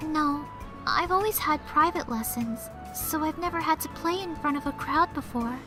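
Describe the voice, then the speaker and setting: A woman's voice: high voice, normal speed, over music, natural voice. An actress playing a shy, sweet teenage schoolgirl in a visual novel game, speaking in a timid, hesitant way. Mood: nervous, shy. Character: shy, sweet, anxious teenage girl student violinist.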